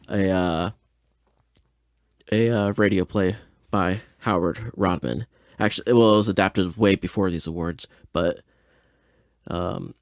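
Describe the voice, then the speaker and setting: A man chats casually as a podcast host, wandering informally through his points. His voice sits a little low, and the close recording is dry with no room sound. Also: no room sound. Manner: informal, rambling. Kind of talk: casual, chatty show introduction.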